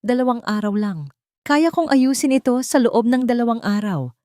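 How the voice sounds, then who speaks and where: A woman with a Filipino accent voicing a wealthy, protective father in a storybook romance, gentle and reassuring. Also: on the high side, moderate pace, no room sound, clean studio sound, pitched above the performer's own voice, lightly acted.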